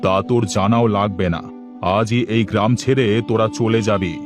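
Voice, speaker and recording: A man's voice: fairly low pitch, steady tone, normal speed, music in the background, natural voice. A man playing a stern, menacing village headman in a cartoon, giving commands and threats. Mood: threatening.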